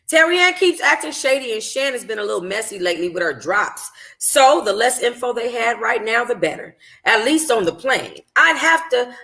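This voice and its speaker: A woman's voice: fairly high pitch, vocal fry, sharp tone. A woman reading a novel aloud in an informal way, as a book club host, sometimes stumbling and rereading phrases. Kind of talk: informal first-person audiobook narration.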